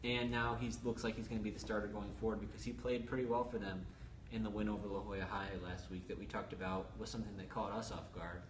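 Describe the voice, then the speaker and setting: A man co-hosting a casual sports podcast, explaining stats and game outlooks in a brisk, analytical way. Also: fairly low pitch, flat delivery. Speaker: sports reporter co-host. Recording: roomy echo with faint ambient noise.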